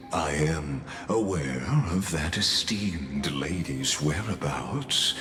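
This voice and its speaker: An extremely deep-voiced enigmatic male cosmic wanderer from a video game, calculating and formal. The words come very slowly, with grand, deliberate pauses. The voice rings with heavy echo over background music. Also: heavily acted. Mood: cryptic, composed. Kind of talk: scripted video game character dialogue. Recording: echoey, with music underneath.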